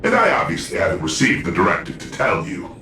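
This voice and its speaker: Theatrical, glib security officer, roomy echo. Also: male voice, low-pitched, lively intonation, a little fast, sharp tone, recorded from a distance, slight background noise. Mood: sly. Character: flamboyant, self-mocking male corporate security officer posing as hero. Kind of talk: video game voice acting.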